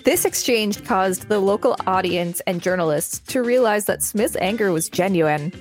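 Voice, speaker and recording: A woman's voice: medium pitch, moderate pace. Female host-narrator, medium register, reading reference copy aloud, light music bed. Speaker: podcast host and reader. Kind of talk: reading an encyclopedia article aloud. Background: background music.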